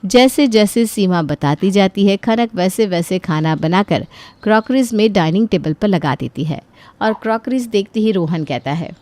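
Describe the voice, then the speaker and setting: A woman's voice: mid-range voice, expressive, normal speed, darkish tone, no room sound. Woman narrating a cartoon story, dry sound.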